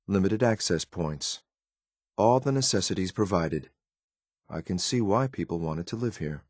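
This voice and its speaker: An actor playing a grim, cold synthetic soldier in a video game, speaking in a clipped, deadpan, disdainful way. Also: male voice, sits low, unhurried, breathy edge, no echo, studio quality, exaggerated voice. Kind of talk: scripted video game companion lines.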